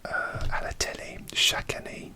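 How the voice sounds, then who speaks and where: A man whispering a casual ASMR chat, halting and hesitant, often pausing to search for words. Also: sharp tone. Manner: halting, hesitant, many fillers and restarts; slips in grammar, searches for words. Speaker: ASMR creator.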